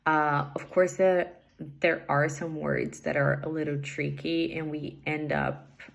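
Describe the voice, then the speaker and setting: Woman, low pitched, gravelly, casual answers, lots of ums. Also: level tone, a little slow. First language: Portuguese. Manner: thoughtful, many fillers and hedges. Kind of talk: answering assignment questions, casual.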